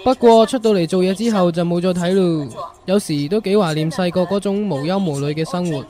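A man's voice: high voice, a little fast, dark tone, lightly acted. A man playing a considerate young boyfriend in a radio drama. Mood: friendly. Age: young adult.

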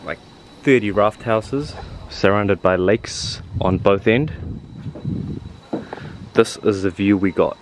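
A man's voice: lowish voice, slow, pauses often, a little hiss or hum. A young man casually vlogging a trip, chatting playfully to the viewers while filming himself at arm's length outdoors on a lakeside raft house. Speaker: travel vlogger. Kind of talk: casual travel vlog. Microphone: handheld camera at arm's length.

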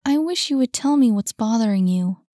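A woman reading a storybook and voicing a gentle, caring young woman who speaks softly and pleadingly to a troubled friend. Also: fairly high pitch, expressive, moderate pace, dry recording, clean studio sound, pitched above the performer's own voice, close to an everyday voice. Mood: insistent. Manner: soft, pleading, concerned.

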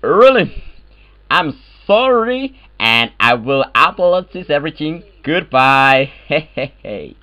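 Man, goofy voice chat player, slow and bright, mumbles singsong lines on a headset. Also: fairly high pitch, lively intonation. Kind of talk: goofy game voice chat banter.

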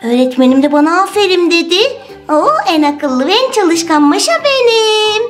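A woman voicing an excitable, cheeky little girl in a toy play video, with a high, childlike, squeaky voice. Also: moderate pace, over music. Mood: delighted.

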